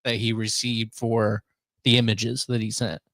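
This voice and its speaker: A man's voice: fairly low pitch, little pitch movement, measured pace, a bit bright, no room sound, studio quality. A man co-hosting a radio show in casual, conversational talk. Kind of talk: casual chat.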